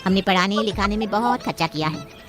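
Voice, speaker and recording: A woman's voice: mid-range voice, fairly quick, over music, natural voice. A woman voicing a boastful, greedy parent of a groom in a cartoon story, haggling with self-importance. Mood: smug, bargaining.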